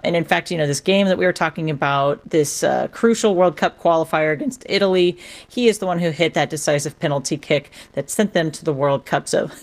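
A woman's voice: deep voice, brisk. A woman reporting on soccer in a radio interview, explaining in a conversational way. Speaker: sports reporter.

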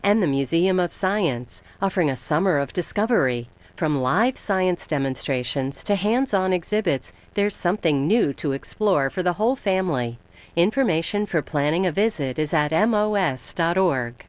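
A woman's voice: on the low side, expressive, normal speed. An American woman announcing funding credits in a scripted radio read.

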